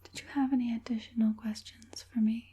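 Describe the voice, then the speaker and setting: A woman playing a softly menacing evil witch puppet master in an ASMR roleplay, whispering breathily with a coaxing, politely sinister manner. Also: on the high side, flat delivery, brisk, husky. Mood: softly businesslike.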